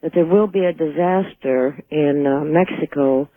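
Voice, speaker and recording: A man's voice: on the high side, a little slow. A man phoning a radio call-in show, speaking tentatively and with some hesitation. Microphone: telephone. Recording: thin narrow phone line on radio.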